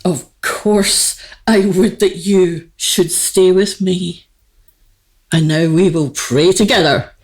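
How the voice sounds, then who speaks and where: A woman's voice: on the low side, steady tone, takes it slowly, darkish tone. A woman playing an aristocratic, lovelorn queen, grand yet girlish, with stately, formal theatrical diction in a comic radio play. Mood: haughty.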